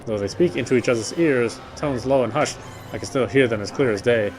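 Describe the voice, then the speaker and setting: A man's voice: medium pitch, a little fast. A man streaming a visual novel, reading its story aloud and commenting casually. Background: faint game music.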